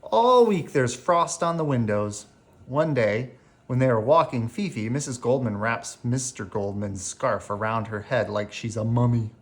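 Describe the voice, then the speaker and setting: A storyteller reading a children's picture book aloud in a deliberate, animated way, as for bedtime. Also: mid-range voice.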